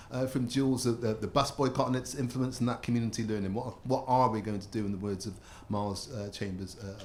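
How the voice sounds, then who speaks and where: Halting male event host. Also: mid-range voice, slight background noise. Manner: halting, many fillers and repeated words. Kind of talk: closing remarks and thanks at a public event.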